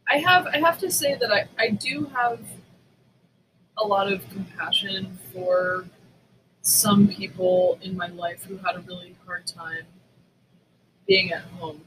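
A woman's voice: medium pitch, slow, fairly bright tone, a little hiss or hum, low-quality recording. A woman talking casually and conversationally as a podcast co-host.

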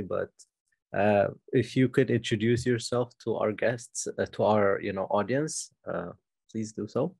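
A man's voice: on the low side, gravelly, darkish tone. A man hosting a podcast interview, asking questions hesitantly with many uhs and restarts. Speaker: podcast host. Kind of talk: casual interview chat.

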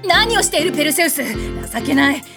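A woman playing a proud, hot-tempered goddess in an audio comedy drama, bossy and rough-spoken. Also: high voice, lively intonation, fairly quick. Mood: commanding. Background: music.

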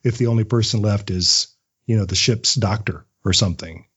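Male interview guest, writer type, husky and reflective, conversational delivery, slightly dull recording. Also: fairly low pitch, brisk, a bit bright. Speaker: interview guest, audio drama writer and voice actor.

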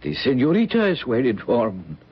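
An actor playing a gentle, grave older priest in an old-time radio drama, measured and fatherly. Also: medium pitch, huge pitch swings, husky. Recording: dull, muffled vintage radio recording.

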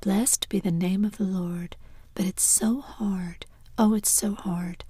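A woman's voice: mid-range voice, fairly even intonation, slow pace, dark tone, husky, no room sound. A woman whispering as a tearful, remorseful mother in a storybook reading. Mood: sobbing.

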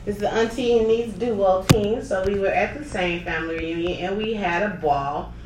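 A woman's voice: medium pitch, moderate pace. A middle-aged woman co-hosting a casual chat show, telling stories with lots of laughter. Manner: laughing, chatty storyteller. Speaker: co-host. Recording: roomy, a bit distant, faint noise.

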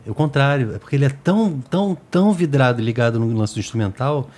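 A man's voice: mid-range voice, quick pace, a little mellow. A man with a Brazilian Portuguese accent hosting a casual chat, agreeing easily and adding his own stories. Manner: chatty, agreeing, drops in anecdotes.

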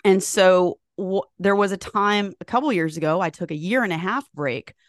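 A woman's voice: fairly low pitch, moderate pace, no echo, clean studio sound. A woman hosting a podcast interview, talking in a chatty, rambling, friendly way. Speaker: podcast host. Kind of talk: casual interview chat.

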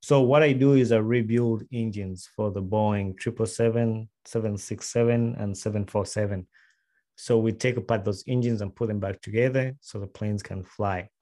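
A young man from Uganda talking casually as an interview guest, quick and rambling with restarts. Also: on the low side, steady tone, warm tone. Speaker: guest, aircraft mechanic.

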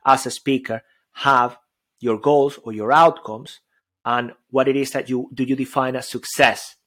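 Energetic Venezuelan-accented man giving speaking tips. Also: mid-range voice, unhurried. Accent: Venezuelan Spanish. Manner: energetic, emphatic, stacks repeated phrases. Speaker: podcast co-host. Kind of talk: motivational speaking tips on a live audio show.

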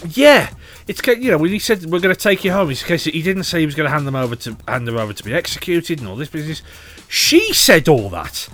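A man co-hosting a podcast in casual chat, animated and opinionated, quick to joke. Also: a little high. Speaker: podcast co-host.

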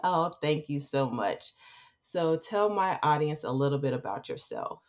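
A woman's voice: sits low, very animated, a little slow. A woman hosting a podcast, chatting with a friendly, upbeat manner as she welcomes and interviews a guest. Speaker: podcast host. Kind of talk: casual interview chat. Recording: dull, lo-fi podcast audio.

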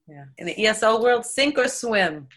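A woman hosting a podcast, reading a scripted introduction in a clear, deliberate, rhetorical way and then interviewing her guest. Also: a little high, animated, fairly bright tone. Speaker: podcast host. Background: music.

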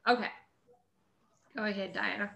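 Gravelly woman host in an echoey room. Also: medium pitch, steady tone, moderate pace, a bit bright.

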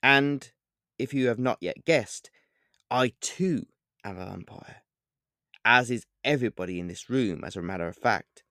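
A man's voice: medium pitch, lively intonation, measured pace, pushed and bright, no echo, studio quality. A man voicing a smug, menacing male vampire king who talks grandly and savors each word, in a storybook reading.

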